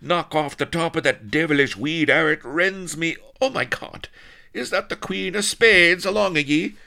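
A man's voice: a little high, moderate pace, creaky, bright, forceful tone, voice pushed up. A man voicing a desperate, blustering roguish colonel who bawls and exclaims in archaic speech in an audiobook. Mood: frantic relief.

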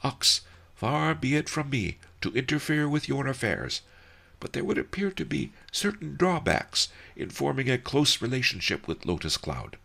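A man's voice: mid-range voice, big pitch swings, moderate pace, gravelly, pushed and bright, voice pushed up, heavily acted. A man voicing a wry, sardonic old male sage who talks in a dry, mocking, formal way. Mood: dry teasing.

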